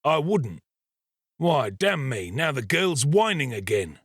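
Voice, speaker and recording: A man voicing a savage, sick, ill-tempered criminal in an audiobook, with a gruff, growling, impatient delivery. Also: medium pitch, sing-song, takes it slowly, no echo, studio quality, voice pushed up, exaggerated voice. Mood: grudging irritation. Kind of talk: dramatised audiobook reading of a classic novel.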